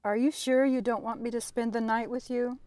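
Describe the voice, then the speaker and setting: Cautious female friend, played by a woman: mellow, a little high, gently questioning, radio play. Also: fairly even intonation, moderate pace, natural voice. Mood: worried. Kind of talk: audio drama acting.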